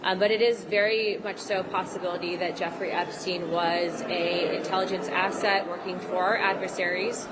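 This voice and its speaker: A woman politician giving off-the-cuff remarks to the press, halting and repeating words. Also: fairly low pitch, little pitch movement, over a noisy crowd. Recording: very noisy press gaggle audio.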